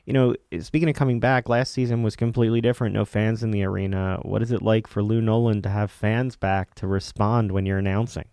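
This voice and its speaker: A man's voice: on the low side, flat delivery, brisk, few pauses, dark tone, clear, resonant tone, no echo, studio quality. A man hosting a casual sports interview, putting questions to a guest in a conversational way. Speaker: sports show host.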